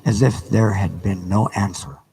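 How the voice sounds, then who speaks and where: Measured male narrator over ambient noise. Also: lowish voice, vocal fry, mellow, in a large hall, poor audio. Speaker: narrator and reader. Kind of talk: live dramatic audiobook narration. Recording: live reading with background ambience noise. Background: ambient background sounds.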